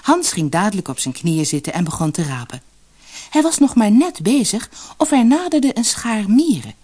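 A woman narrating a fairy tale for an audiobook in an expressive, dramatic, scripted storytelling style. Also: mid-range voice, normal speed, husky.

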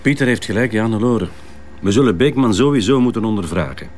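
Actor as earnest, low-voiced Flemish detective. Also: male voice, lively intonation, fairly quick, a little mellow, slight background noise, close to an everyday voice. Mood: sober. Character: earnest, measured male police detective. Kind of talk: naturalistic Flemish radio crime drama.

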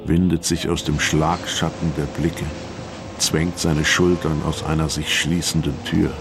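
A somber storyteller in a noir crime radio play. The voice is extremely deep and gravelly, unrolling poetic prose at a slow, measured pace. Music runs underneath. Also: male voice, little pitch movement, background noise. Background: music behind the voice.

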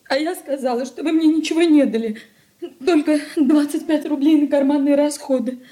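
An actress in a Soviet radio drama playing a distraught young runaway wife, pleading and tearful. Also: female voice, high voice, normal speed, dark tone, close to an everyday voice. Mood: anguished pleading.

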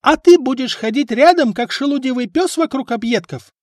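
A man voicing a sharp-tongued village wife who yells and scolds her husband in a storybook reading. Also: sits high, very animated, moderate pace, dry recording, studio quality, voice pushed up. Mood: shrieking scolding. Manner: shrieking, scolding. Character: shrill scolding village wife, middle-aged.

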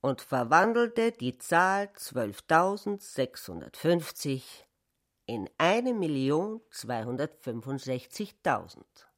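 A woman's voice: sits low, sing-song, slow pace, heavily acted. A woman narrating a satirical literary radio drama. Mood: pointed.

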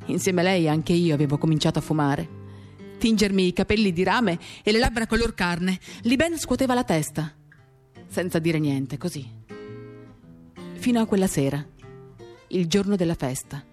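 A woman giving a measured, intimate dramatic reading of first-person literary narration in short, paused phrases, accompanied by acoustic guitar. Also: lowish voice, a little mellow. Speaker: reader of a novel.

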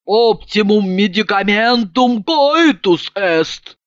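Woman acting a booming, hard-drinking Latin-spouting lady. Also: expressive, slow pace, no echo, muffled recording. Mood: matter-of-fact. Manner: booming, declamatory, unflappable.

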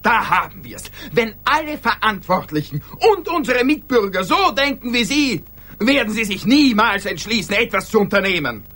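Radio drama: principled little male office clerk, indignant, agitated, repetitive, breathy husky voice, very animated intonation. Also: high voice, full character voice. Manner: agitated, halting, repeating himself. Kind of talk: German radio drama acting.

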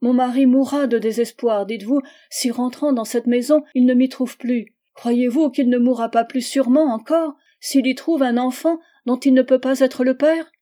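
A woman reading the part of a desperate, ashamed young married woman, in a high, anguished pleading voice. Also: fairly even intonation, normal speed, few pauses, mellow, natural voice. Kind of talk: audiobook dialogue reading.

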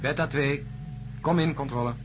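An actor playing a seasoned astronaut in a science fiction radio drama, brisk, matter-of-fact and wry. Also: male voice, medium pitch, natural voice. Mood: alert, brisk. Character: seasoned, brisk male astronaut in charge aboard spacecraft. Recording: noisy drama recording with cabin ambience.

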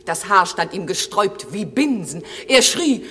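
Radio drama casting: dutiful sprite, lyrical and swooping in pitch, heard in a long echoing hall. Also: female voice, a little slow, husky, exaggerated voice. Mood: eager reporting. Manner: declamatory, lyrical, lively. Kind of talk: classic German radio drama, heightened verse delivery.

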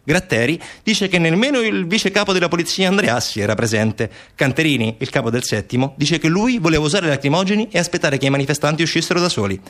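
A man's voice: fairly high pitch, animated, quick pace, few pauses, fairly bright tone. A man performing a theatrical narrative monologue as a stage actor, with an ironic, questioning manner. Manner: rhetorical questions, ironic, acting out quoted replies.

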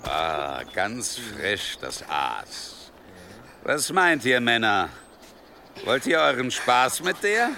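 An actor playing a coarse, menacing war-band leader with a sneering, threatening delivery in a German historical audio drama. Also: male voice, medium pitch, big pitch swings, drawn-out words, creaky, noisy background, heavily acted. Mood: sneering, lewd.

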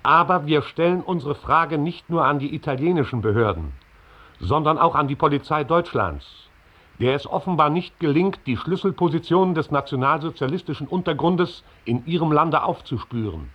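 An actor playing a formal reader of an indignant newspaper editorial in a 1960s radio drama. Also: male voice, on the high side, expressive, moderate pace. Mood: formal, insistent. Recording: dull, band-limited old radio sound.

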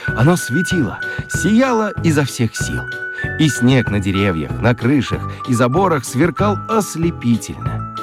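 A man tells a children's story in a mid-pitched, very animated voice. His delivery is scripted and theatrical, with dramatic pauses and emphasis, and music plays behind him. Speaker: narrator.

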